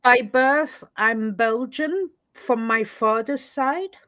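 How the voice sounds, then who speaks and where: A chatty woman with a Swiss French accent, her first language French, talking as an interview guest. Her voice sits a little high and sounds close and dry, with no room around it, as on a video call. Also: takes it slowly, fairly bright tone, no echo. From Switzerland, French-speaking. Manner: chatty, self-deprecating, laughs easily. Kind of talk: casual online interview chat.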